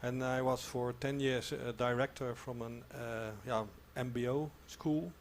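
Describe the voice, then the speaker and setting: A man's voice: medium pitch, flat delivery, measured pace, slight background noise. Dutch-accented man introducing himself. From Limburg, Netherlands. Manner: non-native phrasing. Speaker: guest, former school director. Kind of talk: self-introduction in an interview.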